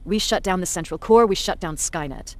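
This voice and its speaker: An actress playing a cynical, blunt resistance commander in a video game, with a measured, sardonic delivery. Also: female voice, fairly low pitch, dry recording, lightly acted. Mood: brisk, commanding. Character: hard, cynical female resistance military commander, self-declared bully.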